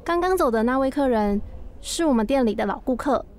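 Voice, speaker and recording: A woman's voice: sits high, lively intonation, brisk, darkish tone. A woman voicing a friendly, chatty young shop clerk in an audio drama.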